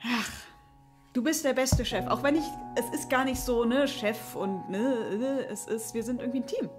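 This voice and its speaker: A woman's voice: a little high, normal speed, music in the background, natural voice. A woman in an improvised audio play playing a nervous, gushing employee who rambles. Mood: grateful, flustered. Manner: rambling, flustered. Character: nervous, gushing female employee grateful to her boss.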